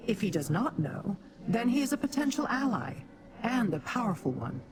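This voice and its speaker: A woman voicing a proud female demon warrior in a fantasy game, with a formal, imperious, deliberate manner. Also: fairly high pitch, big pitch swings, soft background music. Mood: explanatory, resolute. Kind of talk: fantasy game quest dialogue. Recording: clear background noise, game ambience.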